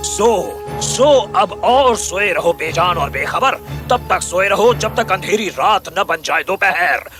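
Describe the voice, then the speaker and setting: A man voicing a menacing sorcerer in a fairy tale, with slow, theatrical, incantatory delivery. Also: high voice, lively intonation, over music. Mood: gloating, menacing. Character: menacing male sorcerer casting wicked curses. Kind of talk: audio fairy tale voice acting.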